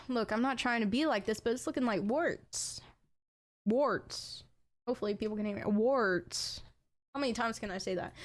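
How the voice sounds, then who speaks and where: A young adult woman with an accent, chatting casually as a game streamer and bursting into excited exclamations. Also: fairly high pitch. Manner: chatty, bursts into excited "ooh"s over items. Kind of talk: casual live-stream chat.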